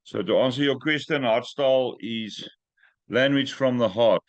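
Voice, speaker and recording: A man hosting a group call in English, which is not his first language, talking in a direct, plain-spoken and sometimes halting way. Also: medium pitch, no echo. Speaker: host. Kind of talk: casual group call chat. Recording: dry muffled call audio.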